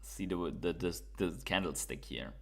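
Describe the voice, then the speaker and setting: A man's voice: sits low, animated, a little slow, creaky. A man from Germany with a German accent, hosting a casual crypto livestream, reading viewer comments and thinking aloud with fillers and repeats.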